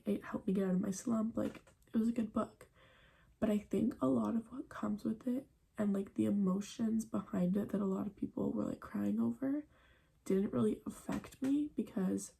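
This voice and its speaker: A woman's voice: medium pitch, gravelly, dark tone, husky. A young woman chatting casually at home in a book vlog, full of "like" and "um" fillers. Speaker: book vlogger. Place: at home in front of a bookshelf.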